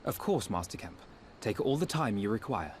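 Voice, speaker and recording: An actor playing a composed, earnest young envoy in a video game, speaking politely, formally and deliberately. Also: male voice, lowish voice, very animated, darkish tone, a little hiss or hum. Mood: courteous, composed. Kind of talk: video game cutscene acting.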